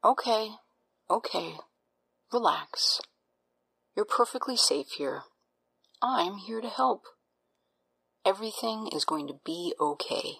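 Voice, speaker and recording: A woman playing a doctor in an audio drama, breathy, soothing and clinical, with a patronizing, unsettling edge. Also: medium pitch, lively intonation, slow, long pauses, a bit bright, exaggerated voice. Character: calm, smoothly reassuring doctor with an unsettling edge.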